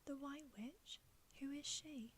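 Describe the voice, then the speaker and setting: A woman's voice: high-pitched, slow, voice pushed up. A woman voicing an anxious, kind-hearted little girl in a whispered ASMR storybook reading. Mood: concerned, earnest. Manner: whispered, earnest, concerned. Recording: faint background hiss.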